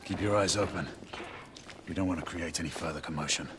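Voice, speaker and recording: A man playing a stern knight commander in a game cinematic. His low voice is terse and serious, protective yet used to giving orders. There is clear ambient noise behind him. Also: a little fast, natural voice. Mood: commanding. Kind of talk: video game acting.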